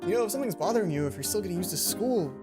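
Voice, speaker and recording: A woman's voice: mid-range voice, expressive, very fast, lightly acted. A woman voicing a kind, bookish young male student librarian in a visual novel, earnest and reassuring. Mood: gentle concern. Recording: music under the voice.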